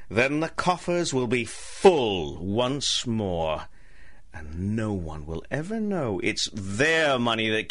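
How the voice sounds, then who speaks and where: An actor playing an embittered, scheming ruined gentleman in a radio drama, with formal, theatrical speech. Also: mid-range voice. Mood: smug. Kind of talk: 1930s-set radio drama acting.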